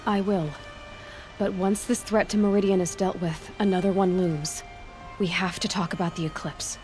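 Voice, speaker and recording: A woman's voice: mid-range voice, steady tone, moderate pace, a little mellow, faint music behind, lightly acted. A woman playing a determined, blunt young warrior in a video game, speaking directly and matter-of-factly. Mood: resolute. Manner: direct, terse, matter-of-fact. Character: determined, blunt young female warrior, guarded but compassionate. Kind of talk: naturalistic video game acting.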